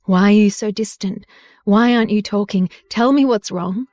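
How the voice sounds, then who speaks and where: A woman's voice: medium pitch, normal speed, a little mellow, dry recording, clean studio sound, natural voice. Woman reading a firm, possessive husband. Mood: insistent. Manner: firm and insistent. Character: possessive, protective young husband.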